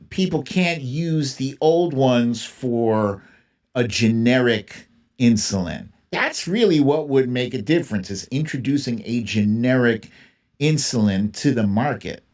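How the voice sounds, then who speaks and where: A man's voice: medium pitch, a little mellow. A man hosting a livestream, giving casual, opinionated political commentary in an informal, emphatic way and repeating phrases for emphasis. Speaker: livestream host.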